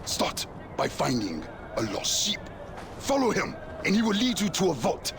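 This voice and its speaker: A fiery former warlord who now preaches. His high voice leaps wildly up and down as he booms out scripture. Loud game ambience hums behind him. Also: wildly swooping pitch, moderate pace, gravelly, background noise, full character voice. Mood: preaching. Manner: preachy, booming, scripture-quoting. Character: fervent male ex-warlord preacher. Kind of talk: video game acting.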